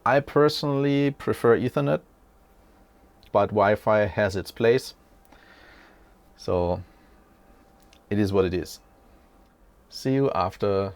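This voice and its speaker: A man in his forties talks through a tech setup at an unhurried pace, his voice gravelly with a touch of fry. He rambles in a chatty way and often stops to correct himself. He sounds like he is sitting at a desk in a small room at home, a short way from the mic. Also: on the low side, a little mellow. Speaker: tech channel host. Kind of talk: unscripted casual tech walkthrough.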